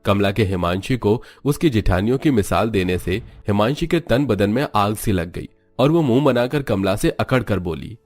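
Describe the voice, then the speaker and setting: Low-pitched male narrator for a cartoon story, steady and dramatic, dry close sound. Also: normal speed, few pauses, no echo, soft background music.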